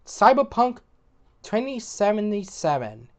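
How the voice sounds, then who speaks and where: A woman hosting casual gaming commentary, opinionated, often repeating and restarting words, her voice getting hoarse. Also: medium pitch, very animated, measured pace. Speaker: gaming video host.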